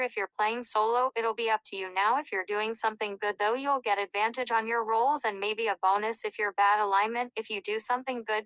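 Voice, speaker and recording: A woman's voice: medium pitch, fairly bright tone, no room sound, low-quality recording. A woman giving an informal, plain-spoken tutorial on the rules of a tabletop role-playing game.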